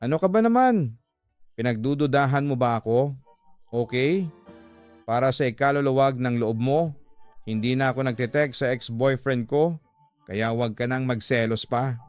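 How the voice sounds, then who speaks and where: Man playing defensive irritated girlfriend, thirties, placating, radio drama, mid-range male pitch, music bed. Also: normal speed, long pauses, darkish tone, smooth, close to an everyday voice. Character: defensive, irritated woman in her thirties hiding an affair.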